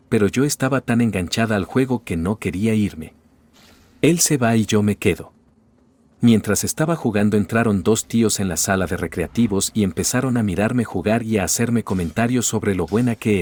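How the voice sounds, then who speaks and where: Male narrator, lowish voice, calm scripted story reading. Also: no room sound. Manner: even, steady reading of first-person stories.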